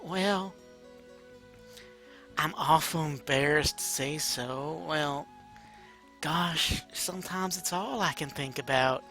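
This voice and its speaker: A man's voice: fairly high pitch, slow pace, pauses often, pushed and bright, soft background music, full character voice. A man voicing a shy, flustered female animal baker from a small town, folksy and hesitant, in a story reading. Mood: embarrassed, hesitant.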